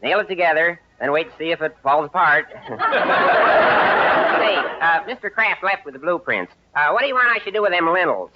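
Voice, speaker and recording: A man acts out an easygoing, casually clueless foreman for old radio comedy. He talks high and offhand, in folksy slang. Heavy noise covers the muffled recording. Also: expressive, fairly quick, lightly acted. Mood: breezy. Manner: colloquial, offhand. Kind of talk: 1950s radio comedy acting.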